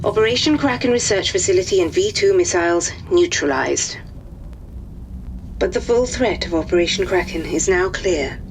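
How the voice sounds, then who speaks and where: An actress playing a military officer delivering a formal mission briefing, with faint ambience behind. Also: female voice, fairly low pitch, animated, moderate pace, a little hiss or hum.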